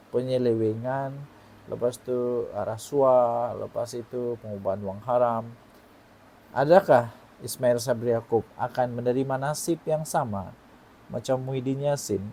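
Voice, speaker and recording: A man's voice: mid-range voice, mellow. A man in his forties with a Malaysian Malay accent, giving online political commentary and putting questions to his viewers. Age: 40s. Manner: emphatic, poses rhetorical questions to viewers.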